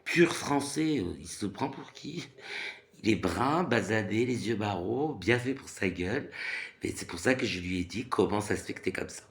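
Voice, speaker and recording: A man's voice: fairly low pitch, lively intonation, normal speed. A man in his thirties from France, ranting casually in a rambling monologue full of fillers and repeated phrases. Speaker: vlogger.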